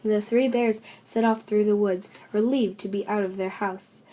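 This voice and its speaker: A woman's voice: medium pitch, fairly even intonation, moderate pace, warm tone. A woman narrating a children's storybook expressively as a read-aloud. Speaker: story reader.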